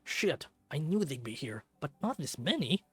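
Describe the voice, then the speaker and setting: Man voicing a husky, casual bull bartender. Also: fairly high pitch, very animated, normal speed, faint music behind. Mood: nervous, alarmed. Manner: casual, slangy, stammers when nervous. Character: friendly, easily flustered young male bull bartender. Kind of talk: visual novel character reading.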